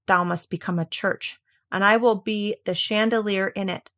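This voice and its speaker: A woman's voice: medium pitch, fairly even intonation, moderate pace, fairly bright tone, lightly acted. A woman voicing a devoted little girl in a fairy tale, speaking with earnest, pleading, old-fashioned solemnity.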